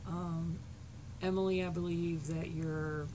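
A woman's voice: lowish voice, flat delivery, slow. A woman in her thirties with a scratchy voice, talking in a rambling, hesitant way with many ums, filmed on a webcam in a small bedroom. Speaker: artist vlogging to supporters. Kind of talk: casual unscripted update. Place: small cluttered bedroom at night. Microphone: webcam at desk. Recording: noisy home webcam audio.

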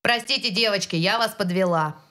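A woman's voice: medium pitch, a little fast, sharp tone, natural voice. A woman voicing a spirited teenage girl in a fantasy cartoon dub. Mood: earnest. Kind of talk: animated cartoon dub acting.

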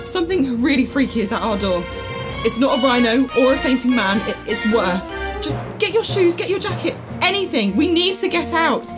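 A woman's voice: high-pitched, lively intonation, moderate pace, over music. An actress playing an urgent, level-headed young woman in a fan-made audio drama. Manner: urgent, bossy.

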